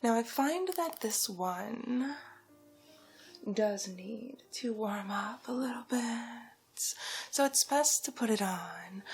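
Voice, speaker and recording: A woman playing a haughty, vain, glamorous villainess in an ASMR roleplay, whispering breathily and condescendingly with drawn-out endearments. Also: a little high, husky, exaggerated voice. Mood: possessive, condescending.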